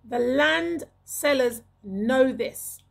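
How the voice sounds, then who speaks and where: Casting: middle-aged woman host, raspy, fairly high voice, emphatic advisory delivery into a close mic. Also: animated, drawn-out words. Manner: emphatic, repeats phrases for emphasis. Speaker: video host giving advice. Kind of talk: advisory talk to camera. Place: small indoor room. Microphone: large studio microphone with pop filter, close.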